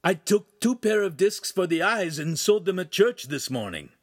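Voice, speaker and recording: A man's voice: high-pitched, sing-song, moderate pace, dry recording, studio quality, pitched above the performer's own voice. A man voicing a humble, eager reformed street youth in an audiobook, pleading and deferential.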